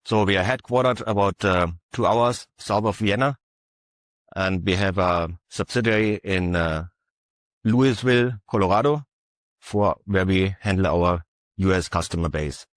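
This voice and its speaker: Guy with a really low voice doing a conference talk, lots of uhs and pauses, dry sound. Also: no room sound. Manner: halting, many filler uhs. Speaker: conference panelist. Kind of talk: industry presentation.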